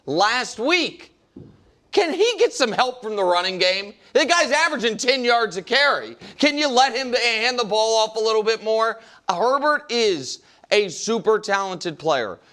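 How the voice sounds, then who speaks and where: Male sports debate host, high and very lively, argumentative and emphatic. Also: a little slow. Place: TV studio.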